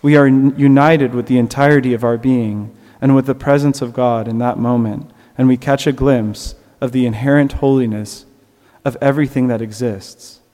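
A man's voice: mid-range voice, fairly even intonation, normal speed, warm tone, big-room sound. A man preaching a sermon in an earnest way, rising at times to emphatic peaks. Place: church.

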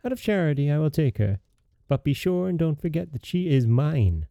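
A man voicing a haughty, scheming little yellow dwarf in a high, disdainful voice. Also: lively intonation, moderate pace, creaky, no echo, clean studio sound.

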